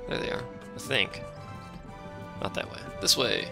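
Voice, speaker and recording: A man with a deep voice giving casual, rambling commentary while he plays a game and chats with his stream viewers, offhand and self-deprecating. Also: a little slow, music in the background. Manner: chats with a live stream chat. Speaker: let's play streamer.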